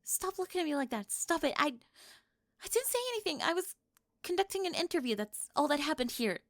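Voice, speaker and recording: A woman's voice: high voice, animated, fairly quick, no room sound, studio quality. A woman playing a bossy, dramatic teenage cheerleader, haughty and sarcastic, sputtering defensively in an audio roleplay. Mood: panicked. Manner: haughty, sarcastic, pompous when cornered, sputtering. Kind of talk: ASMR audio roleplay acting.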